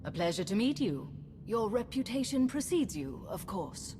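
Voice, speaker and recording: A woman's voice: mid-range voice, big pitch swings, normal speed, lightly acted. An actress playing a poised, earnest exiled woman in a video game, gracious and formal in manner. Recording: long reverb, noisy ambience.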